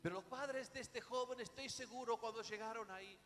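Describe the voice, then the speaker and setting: High-voiced Rioplatense man preaching in a reverberant hall. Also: a little fast, a bit bright. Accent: Rioplatense Spanish. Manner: dramatic, rhetorical questions and emphatic repetition. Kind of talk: sermon. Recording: echoey hall, music behind the voice.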